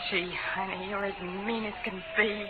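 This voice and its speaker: An actress playing a faded alcoholic lounge singer, needy, wistful and plaintive, talking haltingly in 1940s radio drama style. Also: female voice, mid-range voice, flat delivery, natural voice. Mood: wounded, pleading. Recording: narrow, noisy 1940s radio recording.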